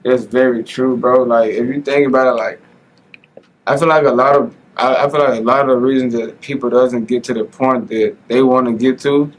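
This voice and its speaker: A man's voice: medium pitch, poor audio. A man hosting a casual podcast interview, earnest and motivational, who keeps saying "bro" and "like.". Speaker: podcast host.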